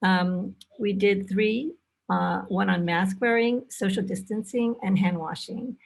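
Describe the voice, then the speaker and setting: A woman presenting in an online webinar as a faculty member, speaking in a measured way with pauses mid-sentence. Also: mid-range voice, fairly even intonation, gravelly, rough recording. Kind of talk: webinar presentation, introducing and thanking. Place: online video call.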